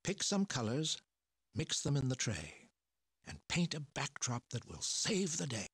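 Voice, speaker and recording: A man's voice: mid-range voice, very animated, a little slow, fairly bright tone, breathy edge, dry recording, studio quality, full character voice. A man playing a playful storybook narrator in a children's game, reading rhymes in a theatrical sing-song way. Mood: playful, instructive.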